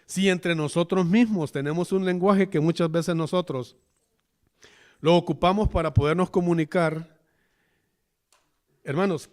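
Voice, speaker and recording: Salvadoran preacher with a high voice. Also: male voice, darkish tone. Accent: Salvadoran Spanish. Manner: chatty, keeps calling the listeners "hermano". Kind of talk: conversational sermon. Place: church.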